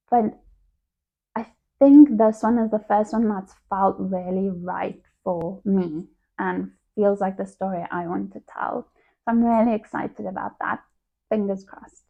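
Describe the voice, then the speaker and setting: A woman's voice: medium pitch, vocal fry. A woman, chatting casually to camera as a book vlogger. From South Africa. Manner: chatty and conversational. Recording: tinny phone-like sound.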